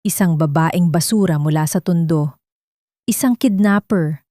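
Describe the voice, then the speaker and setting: A woman's voice: on the low side, unhurried, mellow, dry recording, clean studio sound. A woman narrator reading a scripted, dramatic love story.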